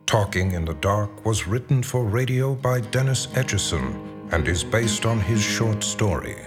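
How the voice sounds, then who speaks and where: Low-voiced male radio announcer. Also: expressive, measured pace. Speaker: programme announcer. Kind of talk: scripted credits announcing. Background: loud guitar music.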